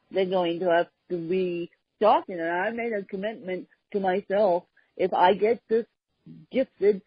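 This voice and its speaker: A woman hosts a podcast chat in a husky voice, speaking very slowly. She talks haltingly, stopping often and filling gaps with "um". The recording is dry and a bit dull. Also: lowish voice, no room sound. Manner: halting, with frequent pauses and fillers. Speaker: podcast host. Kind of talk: casual conversational podcast chat.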